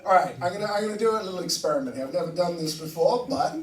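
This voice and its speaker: A man from Barbados doing a stand-up comedy set, swearing and jumping high in pitch for punchlines. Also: animated, very fast, roomy sound, distant mic, a little hiss or hum. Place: comedy club stage with audience. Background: audience laughter.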